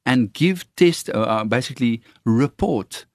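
A man with an Afrikaans accent who trains worship leaders, talking earnestly and haltingly with many fillers. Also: medium pitch, lively intonation, dry recording, studio quality. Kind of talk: casual conversation on faith.

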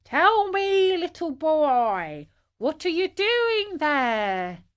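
Woman playing a big male pigeon, unhurried, steady, helpful, matter-of-fact, storybook animal. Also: on the high side, no echo, clean studio sound. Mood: inquiring. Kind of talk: storybook character voice.

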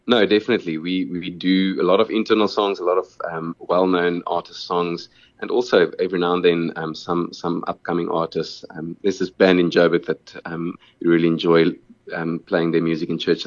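A man's voice: deep voice, flat delivery, a little fast, dry recording, dull audio. A South African man whose first language is Afrikaans, a musician answering interview questions in an earnest way, often repeating words while he thinks.

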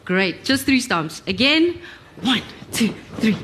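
A woman's voice: a little high, sing-song, slow pace, a bit bright. Lady hosting a live event, upbeat, getting the crowd involved, bit of room noise. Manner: upbeat, encouraging. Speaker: presenter, audience warm-up leader. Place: live conference session.

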